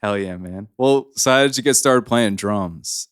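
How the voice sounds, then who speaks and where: A man hosts a casual interview chat. He has a low-pitched voice. He jokes with his guest and often stops and restarts his sentences. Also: smooth. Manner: friendly, joking, halting. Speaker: podcast host.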